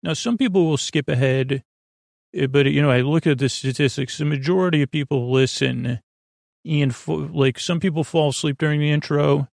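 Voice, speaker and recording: A middle-aged man with a gravelly voice hosting a bedtime podcast, talking in a halting, meandering monologue that keeps interrupting itself. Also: fairly high pitch, darkish tone, no echo, studio quality. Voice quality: gravelly, creaky. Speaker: podcast host.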